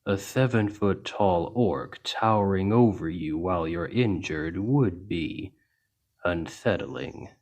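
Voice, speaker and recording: Man voicing a deep, slow-talking gentle orc. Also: lively intonation, exaggerated voice. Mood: gentle, reassuring. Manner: slow, gentle, reassuring, deliberate. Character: towering seven-foot male orc healer, gentle and patient. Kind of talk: ASMR roleplay spoken to the listener.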